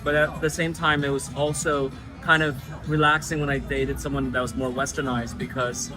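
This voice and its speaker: A man from the United States, a guest chatting casually in an interview, relaxed and full of fillers. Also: medium pitch, normal speed, music in the background, poor audio. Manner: relaxed, frequent fillers and laughs.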